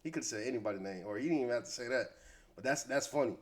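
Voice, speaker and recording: A man's voice: medium pitch, rapid-fire. An American man giving casual, opinionated hip-hop gossip commentary in slangy, conversational speech.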